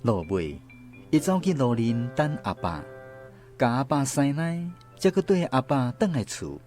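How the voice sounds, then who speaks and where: A man with a Taiwanese accent tells a scripted first-person story as radio drama narrator. His mid-pitched voice moves up and down expressively, over faint guitar music. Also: very animated, a little slow, a little mellow.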